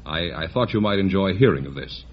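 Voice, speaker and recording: A man's voice: low-pitched, animated, brisk, muffled recording. A man working as a radio announcer, delivering polished announcements and ad copy on an old noisy recording. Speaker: radio announcer and show sidekick. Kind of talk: polished announcing and scripted ad reading.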